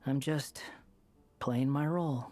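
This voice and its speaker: Male actor as young anime game loner, aloof, wry, measured delivery, medium pitch, faint background music. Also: a little mellow, close to an everyday voice. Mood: reflective. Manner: sardonic, cool, measured. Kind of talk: anime video game voice acting.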